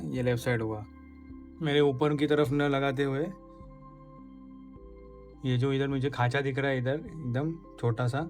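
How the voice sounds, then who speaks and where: A man vlogger casually explaining a hands-on installation step by step, giving practical tips as he works. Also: medium pitch. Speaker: motorcycle vlogger.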